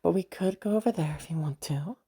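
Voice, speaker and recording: A low, mellow voice, a woman acting it out as a caring, slightly witchy party host in an audio roleplay. She is soft and husky, speaking straight to the listener with teasing playfulness and rambling, soothing reassurance. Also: brisk. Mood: soft, reassuring.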